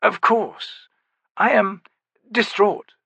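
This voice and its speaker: A man's voice: high-pitched, very slow, gravelly, bright, forceful tone, slightly rough, dry recording, pitched above the performer's own voice, full character voice. A man voicing a suave, cold bearded male sorcerer with a strange foreign accent, speaking in polished, courtly phrases. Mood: cool polite.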